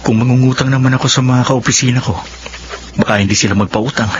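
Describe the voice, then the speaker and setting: An actor playing a glib young spendthrift bachelor with a Filipino accent in a radio drama. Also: male voice, medium pitch, steady tone, fast, dull audio, close to an everyday voice. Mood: scheming worry. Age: young adult. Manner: glib, excuse-making. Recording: noisy radio drama recording.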